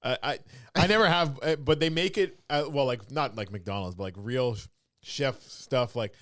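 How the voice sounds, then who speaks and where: A man from Canada hosting a casual podcast chat, quick and joking, often dropping into impressions. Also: medium pitch, a bit bright, dry recording, clean studio sound. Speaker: podcast host.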